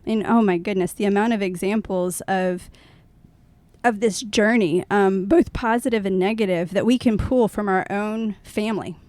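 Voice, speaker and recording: A woman co-hosting a podcast, talking in a thoughtful, self-reflective and conversational way, with hesitant pauses. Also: medium pitch, a little mellow, no room sound. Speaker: podcast co-host.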